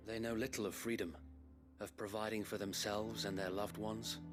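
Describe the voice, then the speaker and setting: Actor as a well-spoken English knight captain, music underneath. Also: male voice, fairly low pitch, normal speed, lightly acted. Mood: thoughtful, regretful. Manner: formal, articulate, expressive. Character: well-spoken knightly guard captain, dutiful, courteous, burdened. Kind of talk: fantasy video game voice acting. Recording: music behind the voice.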